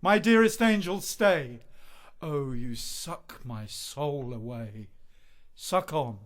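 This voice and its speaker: An actor playing a witty, arrogant, passionate young poet and student in a radio play, with theatrical, declamatory delivery. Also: male voice, on the high side, animated, takes it slowly. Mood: rapturous.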